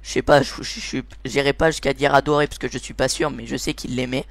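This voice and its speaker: A man's voice: medium pitch, little pitch movement, fast, gravelly, no echo. A man giving an informal explainer commentary over gameplay as the host of a gaming series, hesitant and often searching for his words.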